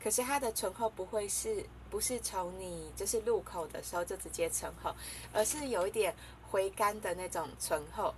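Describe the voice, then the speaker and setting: A woman's voice: mid-range voice, moderate pace, slight background noise. A young woman casually chatting to the camera while reviewing coffee, talking in a hesitant way with many fillers and giggles. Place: small room indoors.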